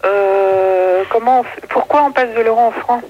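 A woman's voice: on the high side, moderate pace, faint music behind. A woman chatting casually as a caller in a radio debate, over a phone line.

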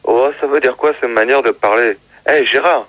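A man's voice: medium pitch, normal speed, a bit bright, dry recording, lo-fi sound, close to an everyday voice. A man voicing a talkative male guest who says he is from Spain and keeps arguing and interrupting. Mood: argumentative.

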